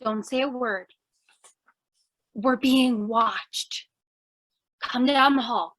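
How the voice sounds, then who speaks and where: A woman's voice: on the high side, extremely slow, fairly bright tone, heavily acted, low-quality recording. A woman playing an eager, overconfident, bossy reporter in old-time radio style, gushing and theatrical. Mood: bossy urgency. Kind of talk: old-time radio comedy-thriller acting.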